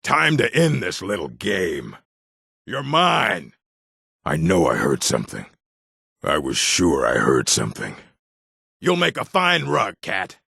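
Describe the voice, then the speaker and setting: An actor playing a gruff, aggressive orc warrior in a video game, with a rough, gravelly voice and a blunt, menacing manner. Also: male voice, low-pitched, huge pitch swings, slow pace, pauses often, sharp tone, no echo, studio quality, full character voice. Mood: gruff, wary. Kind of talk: video game character barks.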